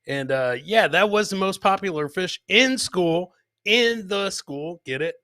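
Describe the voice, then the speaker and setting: A man with a fairly high, sharp, forceful voice hosts a live comedy show. He talks casually to his viewers in crude, joking slang and repeats lines to drive them home. The sound is dry, with very little room in it. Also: lively intonation, measured pace, no room sound. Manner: repeats phrases for emphasis. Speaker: live comedy podcast host. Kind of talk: casual live clip commentary, chatting with viewers.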